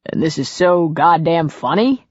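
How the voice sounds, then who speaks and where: Touchy, defensive man, low-voiced as a woman reads him. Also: lively intonation, moderate pace, breathy edge, no room sound, muffled recording. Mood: indignant. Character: earnest, touchy man, protective and indignant. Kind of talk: audiobook character dialogue.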